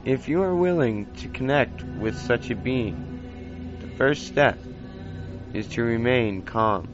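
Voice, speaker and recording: Slow-spoken man narrating, dull lo-fi recording. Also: medium pitch, lively intonation. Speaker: podcast host. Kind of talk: scripted narration in old time radio show style. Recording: dull lo-fi basic equipment, music underneath. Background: music bed.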